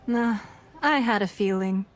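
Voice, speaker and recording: Casting: actress for gracious, calculating woman ruler in a game, mid-pitched, composed and formal, light music underneath. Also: lightly acted. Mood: confiding, wry. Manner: composed, formal, measured phrasing. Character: poised, shrewd woman leader, gracious and calculating. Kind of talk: video game voice acting.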